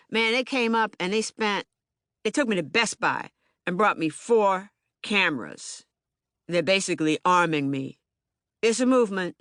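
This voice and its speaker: Woman playing a young street witness, agitated, colloquial, stammering repeats, documentary theater monologue, mid pitch, very dry studio sound. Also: pushed and bright, no room sound. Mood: animated, proud. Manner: emphatic, colloquial, repetitive, stammering on words. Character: agitated, streetwise young Baltimore man, eyewitness bystander. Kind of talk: dramatic monologue, audiobook theater.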